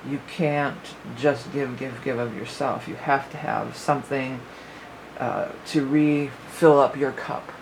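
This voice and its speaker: A woman's voice: rumbling low, steady tone, slow, darkish tone, low-quality recording. Lady rambling through an astrology forecast, voice going a bit, lots of ums, faint hiss behind. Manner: rambling, thinks aloud with many fillers. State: losing her voice a little. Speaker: psychic astrology reader. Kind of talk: casual spoken astrology forecast.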